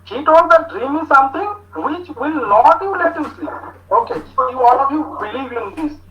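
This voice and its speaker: A man with an Indian English accent giving an online talk to students, speaking slowly with many hesitations as he reads out their answers. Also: very high-pitched, expressive, crowd noise. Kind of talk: online lecture with student chat. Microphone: video call. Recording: muffled video call audio.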